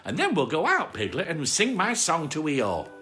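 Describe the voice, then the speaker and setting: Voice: medium pitch, huge pitch swings, normal speed, pushed and bright, voice pushed up. A storyteller voicing a cheerful, muddled toy bear, earnest and singsong, from a children's storybook.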